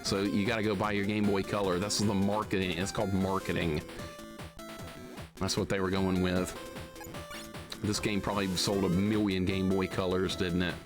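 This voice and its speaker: Male game streamer, loud music behind. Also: deep voice, flat delivery, moderate pace, long pauses. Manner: grumbling, sarcastic complaining. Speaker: live streamer playing a video game. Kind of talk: casual gaming commentary.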